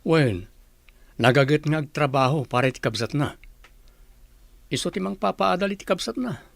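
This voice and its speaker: A man's voice: a little high, animated, moderate pace, vocal fry, dry recording. A man playing a disillusioned, regretful young boyfriend with an Ilocano accent in a radio comedy drama. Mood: blunt, reproachful.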